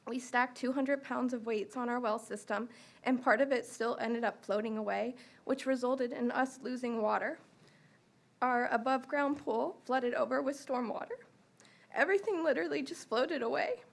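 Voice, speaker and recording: A woman giving steady, orderly public testimony at a county meeting. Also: on the high side, moderate pace, vocal fry. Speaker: resident giving public comment. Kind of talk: prepared personal testimony. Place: county commission meeting room.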